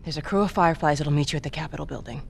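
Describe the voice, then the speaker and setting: An actress playing a steely resistance leader in a video game cutscene, firm, measured and authoritative. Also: female voice, sits low, vocal fry, slight background noise.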